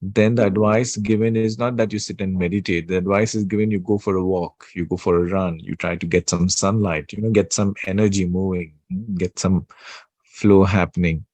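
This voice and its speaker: Male spiritual teacher, deep soothing voice, dry close sound, halting delivery. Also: fairly even intonation, darkish tone, dry recording. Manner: slow, pausing, many fillers and restarts. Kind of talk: unscripted spiritual talk.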